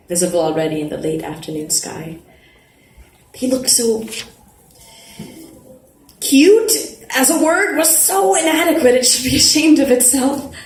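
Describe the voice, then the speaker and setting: A woman giving a dramatic live reading of a first-person novel, conversational and expressive, like a playful teenage narrator. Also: mid-range voice. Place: live literary event at an arts festival. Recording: roomy live venue, background noise.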